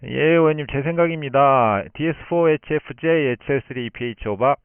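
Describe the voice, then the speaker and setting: A man speaking as an amateur radio operator in long, unscripted explanations, thinking aloud with pauses, over a band-limited shortwave link. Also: mid-range voice, narrow, tinny audio, heavy background noise.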